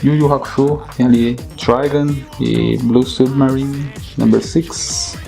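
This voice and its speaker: A young man with a Brazilian accent who hosts a casual vlog and talks in an enthusiastic, informal way, often tagging on quick questions. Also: medium pitch, little pitch movement, gravelly, mellow, faint music behind. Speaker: YouTuber host.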